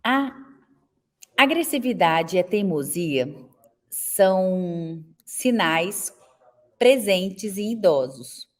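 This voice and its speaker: A woman's voice: lowish voice, lively intonation, extremely slow, pauses often. A woman in her forties with a Brazilian accent, teaching a live online class for elder caregivers in a chatty way and often checking in with her viewers. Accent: Brazilian Portuguese. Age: 40s. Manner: chatty, tags nearly every sentence with a question to viewers.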